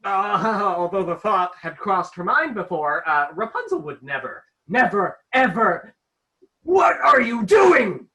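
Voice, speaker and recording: Woman as bright, swooping, pompous storybook narrator. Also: mid-range voice, very animated, full character voice. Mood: flustered. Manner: pompous, halting, flustered. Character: flustered, controlling storybook narrator, pompous, losing grip on tale.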